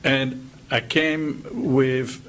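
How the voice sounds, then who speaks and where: A man's voice: medium pitch, steady tone, very slow, a bit bright. An older man with a French accent, introducing himself at a group meeting in a joking way and laughing often. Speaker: meeting attendee. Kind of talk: casual self-introduction.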